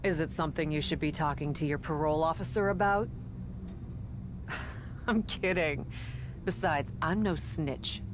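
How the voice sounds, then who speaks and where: A cocky young female wrestler from a video game cutscene. Her voice sits on the low side, and she talks in a blunt, commanding, sassy way. Clear background noise runs under her. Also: fairly even intonation, a little slow, long pauses. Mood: bossy teasing.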